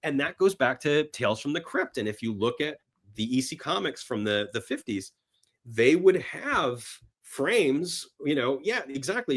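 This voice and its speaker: A man chatting casually and enthusiastically as a podcast guest about films, halting and rambling, sometimes voicing imagined reactions. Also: a little high. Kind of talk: casual film talk in an interview.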